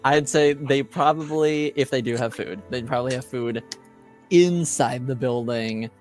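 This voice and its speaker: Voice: mid-range voice, a little slow, a little mellow, soft background music. A game master running a tabletop game in a laid-back, playful way.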